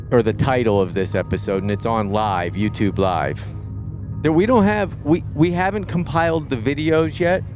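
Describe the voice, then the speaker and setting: A man hosting a casual live show outdoors at a market, rambling and restarting his sentences while teasing his co-host. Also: medium pitch. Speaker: podcast host. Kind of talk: casual live-stream chat. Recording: clear music in the background.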